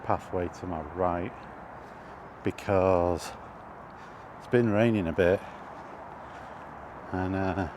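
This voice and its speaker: British man, slow halting delivery, deep voice, casual walking commentary, thinking aloud. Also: fairly even intonation. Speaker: video host. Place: outdoors on a walking path. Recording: outdoor ambient noise throughout.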